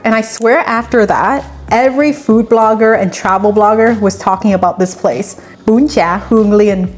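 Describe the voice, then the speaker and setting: A woman talks through a recipe as the host of a cooking video, chatty and relaxed with plenty of personal asides. Her voice sits in an ordinary middle pitch. Soft music plays faintly underneath. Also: normal speed. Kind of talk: cooking tutorial with personal chat. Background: faint background music.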